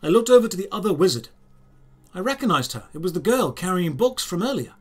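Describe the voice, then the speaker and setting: A man narrating a scripted audio drama in the first person, in measured, deliberate phrases. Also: high-pitched, big pitch swings, breathy edge. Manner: measured, storytelling delivery in short deliberate phrases.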